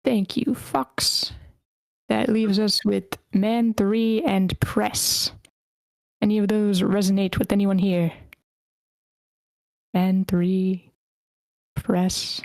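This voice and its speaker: Woman, group host running a casual roll call. Medium pitch, informal and encouraging, dry acoustic. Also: flat delivery, drawn-out words, gravelly, long pauses, a little mellow, no room sound, rough recording. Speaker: host of a script table read. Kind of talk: casual organizing talk, casting roll call.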